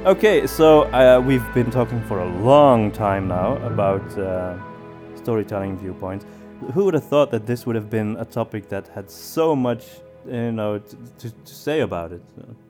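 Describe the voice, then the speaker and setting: Podcast-Moderator mit mittlerer Männerstimme. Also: male voice, mid-range voice, expressive, normal speed, over music. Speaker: podcast host. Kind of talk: casual podcast talk.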